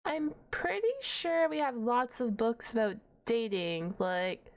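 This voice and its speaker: Woman playing a shy, high-voiced half-dragon librarian. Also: big pitch swings, slow, clean tone, full character voice. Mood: awkward, apologetic. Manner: hesitant, bashful, rambling with awkward humour. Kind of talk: ASMR roleplay acting.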